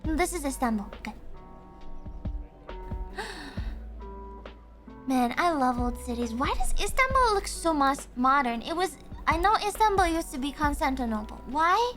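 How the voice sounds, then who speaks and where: A woman streamer chatting casually and thinking aloud, excitable, with frequent gasps and laughs. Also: high-pitched, moderate pace, long pauses, faint music behind. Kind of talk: casual livestream chat.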